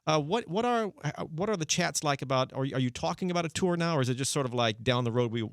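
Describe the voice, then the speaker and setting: A man's voice: on the high side, expressive, fast, dry recording, clean studio sound. An American man interviewing as a radio host in an informal, effusive, casual style. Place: radio studio.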